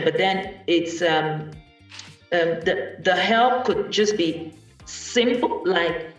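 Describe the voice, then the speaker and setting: A speaker shares a personal journey at an event, thinking aloud with pauses and restarts. The voice is picked up from a distance in a big, very reverberant room. Faint music sits in the background. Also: mid-range voice, fairly bright tone. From Cameroon. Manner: thoughtful, many pauses and restarts. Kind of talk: personal account at a panel event.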